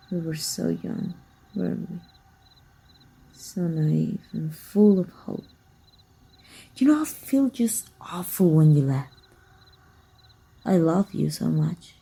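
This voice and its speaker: A woman playing a teasing, wistful and flirty female vampire hunter with a breathy, husky voice in an intimate ASMR roleplay. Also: fairly low pitch, exaggerated voice. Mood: wistful, tender. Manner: slow, teasing, softly taunting.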